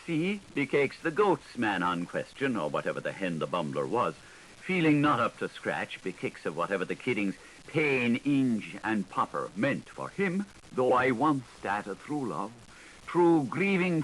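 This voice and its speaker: A man's voice: on the low side, fairly bright tone, low-quality recording. A man reading literary fiction aloud as an audiobook narrator, in a theatrical, playful manner. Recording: older 1980s recording with audible noise.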